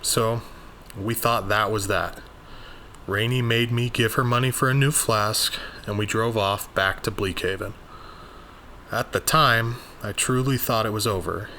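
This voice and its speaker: Man as a low-voiced, exasperated investigator. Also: bright, forceful tone, slight background noise. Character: earnest, exasperated, bookish male occult investigator of 1920s. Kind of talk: first-person journal narration, dramatized.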